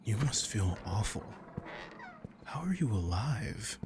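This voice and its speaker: A man's voice: fairly low pitch, very animated, slow pace, noisy background. A man playing a caring vampire king in an intimate roleplay audio, speaking in a casual, teasing, protective way. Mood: concerned, gentle. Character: commanding but caring male vampire king.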